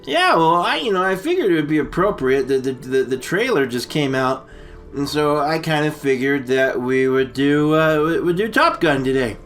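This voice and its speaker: Animated, bright-voiced man recapping a movie. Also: a little high, faint music behind. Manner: rambling, halting, slangy. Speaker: comedy storytelling co-host. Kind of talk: casual comic movie recap.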